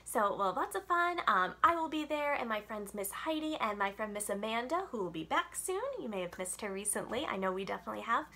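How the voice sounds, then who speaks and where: Young female children's librarian, bubbly read-aloud storyteller, home webcam sound. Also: fairly high pitch. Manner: animated and expressive, drawn-out storytelling. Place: home room with bookshelves. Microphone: webcam on a video call.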